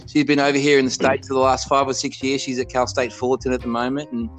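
A man's voice: mid-range voice, level tone, quick pace, soft background music, poor audio. A man in his fifties from Australia with an Australian accent, a college basketball head coach telling his story in a loose, self-correcting way during a casual interview.

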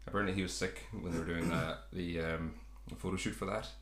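Low guy rambling on a podcast, echoey room, bit of hiss. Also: fairly even intonation, fast, fairly bright tone. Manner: rambling, self-correcting, chuckling. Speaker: podcast host. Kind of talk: casual podcast chat about a sitcom.

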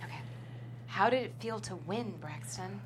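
A woman playing a patient, polite on-camera interviewer in a comedy table read, measured and presenter-like. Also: medium pitch, slight background noise, natural voice. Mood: polite, forced.

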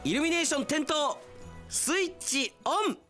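A man playing an earnest, sentimental young railway station worker in a theatrical, expressive radio drama style. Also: very high voice, moderate pace, faint music behind, pitched above the performer's own voice, full character voice. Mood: excited.

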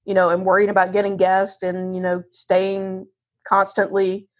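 A woman's voice: medium pitch, moderate pace, muffled recording. Candid woman with a Southern US accent. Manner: candid, self-deprecating, animated quoting of self and others. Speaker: podcast guest, divorce lawyer. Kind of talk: casual conversational interview.

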